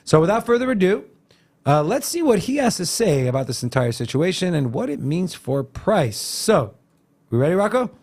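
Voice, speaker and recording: A man's voice: high-pitched, moderate pace. A man hosting a crypto news show, walking through charts in a casual way and asking rhetorical questions. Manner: lively, asks rhetorical questions, frequent "uh" fillers.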